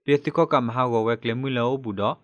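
A man's voice: mid-range voice, quick pace, no echo, clean studio sound. A man presenting scripted news as a radio newsreader.

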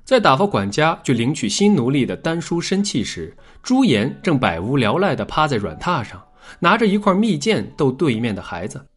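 A man narrating a dramatic scripted story in a measured, expressive storytelling manner. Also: on the high side, breathy edge. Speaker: audiobook narrator.

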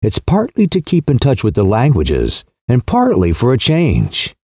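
An awkward, self-conscious gentleman fumbles for his answers, as a male narrator voices him. The voice is mellow and lively in pitch, and the recording is close and dry. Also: measured pace, no echo, heavily acted. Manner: awkward, hesitant replies.

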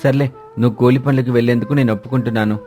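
A man's voice: mid-range voice, steady tone, very fast, darkish tone, natural voice. A man voicing a suspicious, stubborn crow husband in a cartoon moral story, with a grudging manner. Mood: grudging, conceding. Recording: music bed behind voice.

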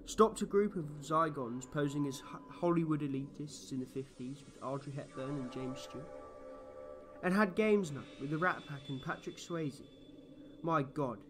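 A man's voice: on the high side, a bit bright. A man playing an eccentric, playful time-travelling alien in a fan audio drama, rambling and boastful with whimsy. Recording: noisy track with background ambience.

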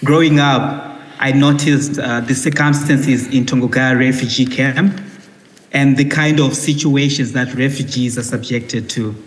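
A young man joins a conference panel remotely over a headset video call, his voice at a middle pitch and carrying a long reverb tail. He speaks earnestly and steadily, pausing on frequent "uh" fillers as he explains his points. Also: steady tone. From Burundi, grew up in Zimbabwe. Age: young adult. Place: indoor room, remote from Italy.

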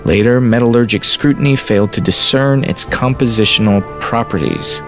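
An actor playing a curious, earnest young farm son in an audio drama, inquisitive and a little hesitant. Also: male voice, medium pitch, moderate pace, over music, natural voice. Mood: curious, puzzled.

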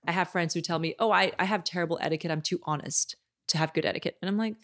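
Woman in her thirties, etiquette expert, fairly low voice, measured and explanatory, boom mic. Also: a bit bright. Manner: measured, explanatory, often ends points with "right?". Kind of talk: interview conversation. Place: studio room. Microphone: desk microphone on boom arm.

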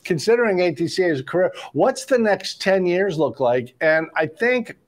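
A man's voice: sits high, expressive, moderate pace. An older man moderating a panel talk show, speaking conversationally as he takes viewer questions on a video call. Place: indoor office, on a video call.

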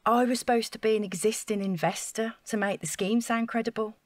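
A woman's voice: on the high side, steady tone, fairly quick, no room sound, lightly acted. An actress playing an earnest, naive council housing officer in an audio drama, chatty and flustered as she over-explains. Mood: eager, naive.

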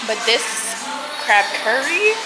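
A woman in her twenties talks casually in the manner of a travel vlogger. Her voice sits a little high, and music plays clearly along with it. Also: sing-song, takes it slowly, rough recording. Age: 20s. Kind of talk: casual vlog chat.

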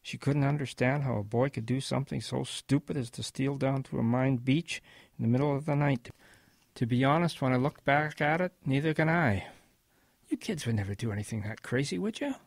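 A man playing a wistful old grandfather in an audio drama, telling his wartime boyhood in a gentle, reflective way with a husky voice. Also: mid-range voice, fairly quick, no echo. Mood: musing, gentle.

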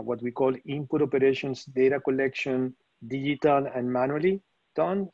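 Man from Venezuela, middle-aged, halting with fillers, dry muffled call audio. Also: mid-range voice, a little mellow, no echo. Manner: hesitant, many fillers and restarts. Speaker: panelist, operations executive. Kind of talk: webinar panel answer. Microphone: video call.

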